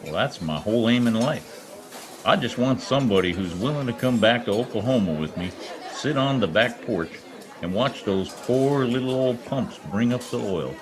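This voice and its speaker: A man's voice: mid-range voice, expressive, moderate pace, a crowd in the background, close to an everyday voice. A man playing a wry, wisecracking private detective who poses as a lonely oilman, in old radio drama style. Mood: flirtatious, joking. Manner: wry, quipping. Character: wisecracking male private eye posing as lonely Oklahoma oilman. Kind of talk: 1940s radio detective acting, first-person narration. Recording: remote video-call recording with music.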